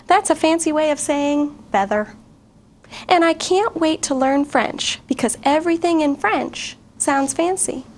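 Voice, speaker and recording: A middle-aged woman teacher reading a picture book aloud to children, expressive and asking young listeners questions. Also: sits high, measured pace, a little hiss or hum. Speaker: guest reader, teacher.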